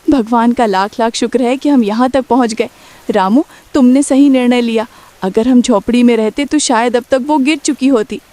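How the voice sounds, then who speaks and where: A woman's voice: fairly high pitch, moderate pace, dark tone, natural voice. A woman playing a poor, caring and protective village mother in a cartoon story. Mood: relieved.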